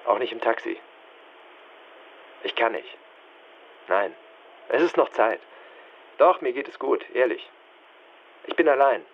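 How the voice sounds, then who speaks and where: Muffled, anxious man, jumpy pitch. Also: slight background noise. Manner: halting, defensive short phrases. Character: anxious, evasive man on a phone call. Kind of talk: radio drama, one side of a phone call. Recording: dull, muffled band-limited sound.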